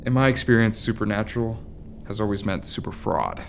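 No-nonsense police detective, radio drama, quick talker, lowish pitch, dry and doubting. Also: male voice, very animated, vocal fry, slight background noise. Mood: skeptical. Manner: brisk, dry, blunt. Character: blunt, skeptical male police detective. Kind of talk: audio drama acting.

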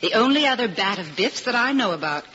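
Motherly, resourceful female lead, bright forceful tone, old radio drama, muffled transfer. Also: a little high, animated, fast, a little hiss or hum. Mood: reassuring. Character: plucky, motherly married woman, resourceful ally. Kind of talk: 1950s radio drama acting.

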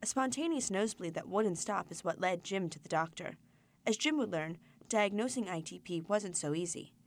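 A woman narrating a sponsored report in a calm, measured voice. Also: medium pitch. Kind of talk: scripted ad narration.

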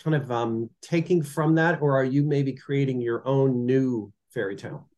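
A woman radio host interviewing a musician, encouraging and a bit halting, with restarts. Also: rumbling low, mellow, dull audio. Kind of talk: casual interview.

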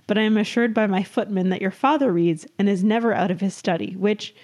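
A woman reading as a morose, haughty young Regency gentleman with formal period diction in a comic story. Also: medium pitch, brisk, natural voice. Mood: haughty, declaring.

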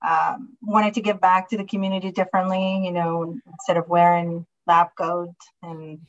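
A woman from Somalia in her forties talks as a guest in an interview. Her voice is fairly low and stays even and flat as she tells her story earnestly, pausing often on "um" and "uh". The remote call audio sounds a little dull and muffled. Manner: earnest, halting, many ums and uhs. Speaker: guest, county commissioner.

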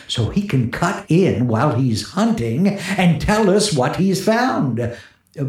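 Uneasy radio host, swooping voice, old recording. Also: male voice, a little high. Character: skeptical radio disc jockey broadcasting from a haunted house, uneasy. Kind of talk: 1940s radio acting. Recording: roomy, echoing 1940s radio recording.

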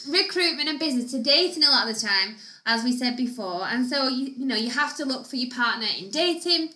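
A woman co-hosting a podcast, talking in a high, bright voice. She explains things in a friendly way with a dry, teasing edge, and the room gives her voice an echo. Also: expressive, a little fast. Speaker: podcast co-host. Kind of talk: casual chat.

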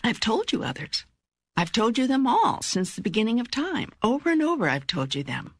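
An older woman voicing God as a calm, wise, authoritative teacher in an audiobook, with measured, emphatic phrasing and a husky voice. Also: on the high side, dry recording, heavily acted. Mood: firm, admonishing. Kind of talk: audiobook dialogue reading.

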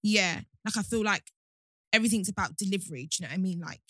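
A young woman with a mid-pitched voice co-hosts a podcast and speaks her mind bluntly. The recording is close and dry, with no room echo. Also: fast, sharp tone. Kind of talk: casual podcast chat.

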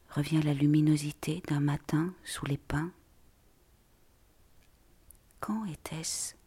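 A narrator reads poetic prose in a hushed, deliberate, breathy voice that often drops to a near whisper. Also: medium pitch. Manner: slow, hushed, deliberate delivery. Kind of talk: literary reading of poetic prose.

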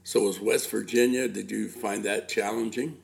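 An older man with a gravelly voice, chatting casually as an interviewer, repeating himself and dropping Spanish words into his English. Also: on the low side, fairly even intonation, moderate pace. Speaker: interviewer, host.

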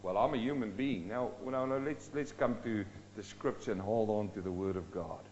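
A man from South Africa preaching a sermon, swinging between loud rising questions and low, slow emphatic drops. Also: dull audio, a little hiss or hum. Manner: dynamic, rising and dropping sharply for emphasis.

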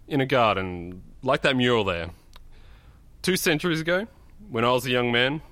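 Condescending ancient doctor for audio drama, played by a man: lowish, forceful, pompous, close and dry. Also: no room sound. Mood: grandiose. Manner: pompous, patronising, emphatic. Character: smug two-hundred-year-old male doctor, lecturing and condescending.

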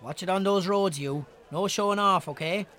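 An actor playing a jovial Irish friend who banters and teases colloquially in a radio drama. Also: male voice, sits high, moderate pace, pushed and bright. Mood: jovial, teasing. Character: Terry, teasing old pal of a farmer, jovial pub regular. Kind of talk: naturalistic radio drama acting.

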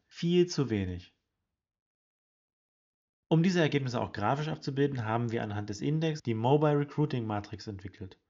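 A man hosting a weekly HR news podcast, reading a scripted report in a brisk, informative way and addressing listeners directly. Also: mid-range voice, creaky, darkish tone, lo-fi sound. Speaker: podcast host presenting HR study results.

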